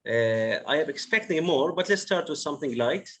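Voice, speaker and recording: Man from Bahrain, husky voice, halting host, video call. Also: on the high side, lively intonation. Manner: halting, repeats words, many uhs. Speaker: host of online workshop. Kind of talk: casual video-call chat.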